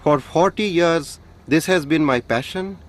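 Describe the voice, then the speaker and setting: A man acting as an elderly, devout craftsman in a radio play, with an Indian accent. His voice sits fairly high, and he speaks humbly and earnestly, with weary deference. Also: measured pace, lightly acted. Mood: wistful, weary. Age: older.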